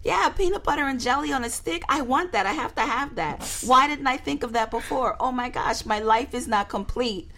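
A woman's voice: high-pitched, brisk, hardly any pauses, pushed and bright, slight background noise. A woman speaking as a marketing expert on a talk show, emphatic and playful, repeating words to drive points home. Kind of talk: lively talk show discussion.

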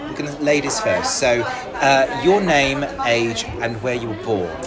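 A man's voice: mid-range voice, expressive, unhurried. A man in his forties hosting a casual, laughing chat with a cast in a pub. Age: 40s. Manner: chatty, laughing, bantering. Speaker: podcast host. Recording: noisy pub crowd. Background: crowd chatter.

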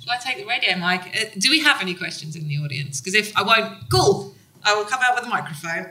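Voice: mid-range voice, expressive, fast, sharp tone. A podcast co-host talking enthusiastically at a live panel, chatty and often trailing off mid-thought. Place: convention hall stage.

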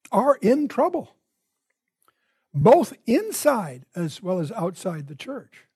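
An older American man with a husky voice teaching a Bible study in a deliberate, emphatic manner, phrase by phrase. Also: extremely high pitch, darkish tone. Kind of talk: Bible study lecture opened with prayer.

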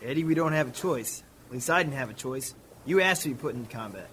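A man's voice: mid-range voice, lively intonation, quick pace, a bit bright, slight background noise, natural voice. A man playing an easygoing young Marine talking to his buddy in a radio war drama. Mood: resigned, casual.

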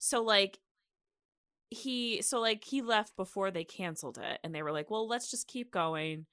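A woman's voice: medium pitch, unhurried, a bit bright, clean tone, no echo, studio quality. A young woman hosting a podcast in a casual, rambling way, peppering her talk with "like". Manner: rambling, heavy use of "like". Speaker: podcast host.

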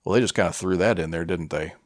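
A man's voice: low voice, fast, no echo, studio quality. A man co-hosting a casual film chat, feeling his way through his opinions with hesitant phrasing and some swearing. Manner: hesitant, searching for words, casually profane. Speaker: podcast co-host reviewing a film.

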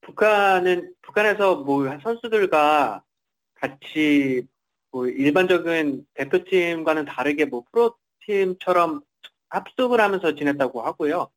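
A man's voice: a little high, lively intonation, a little slow, fairly bright tone, rough recording. A man reporting in a semi-formal interview, somewhat hesitantly, often repeating and rephrasing his words.

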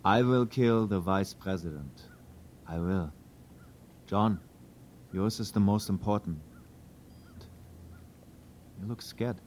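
A man's voice: low-pitched, takes it slowly, long pauses, a little mellow. A man playing a big, earnest Prussian immigrant plotter with a German accent in a historical drama. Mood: solemn, urging. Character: big Prussian immigrant conspirator, resolute yet uneasy. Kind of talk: historical audio drama acting.

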